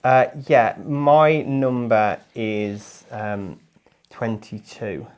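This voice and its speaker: A man making a prank call, speaking in a deadpan, rambling and hesitant mock-earnest way. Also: mid-range voice, drawn-out words, clear, resonant tone. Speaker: prank caller. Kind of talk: mock-earnest phone conversation.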